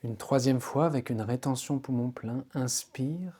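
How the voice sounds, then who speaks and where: Very mellow man guiding deep relaxation. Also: breathy edge. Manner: slow, soothing, unhurried instruction with pauses. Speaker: yoga nidra guide. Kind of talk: guided relaxation meditation.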